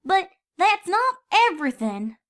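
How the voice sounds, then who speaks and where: A woman's voice: very high voice, sing-song, measured pace, a bit bright, voice pushed up, exaggerated voice. A woman voicing a young country filly, eager and earnest, with folksy turns of phrase.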